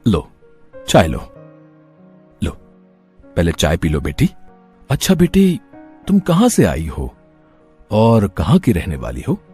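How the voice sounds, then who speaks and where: A man acts out a kind older tea-stall uncle for a cartoon moral tale. The voice is very raspy and fairly low, with a mellow tone. He sounds fatherly and concerned for others. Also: huge pitch swings, slow, long pauses, soft background music, full character voice. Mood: gentle, caring. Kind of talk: Hindi moral-story cartoon voice acting.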